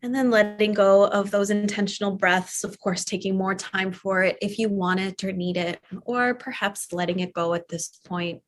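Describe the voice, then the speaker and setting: A woman teaching a yoga nidra class, talking softly and soothingly with long pauses as she guides a guided relaxation. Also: mid-range voice, steady tone. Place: live online or studio class.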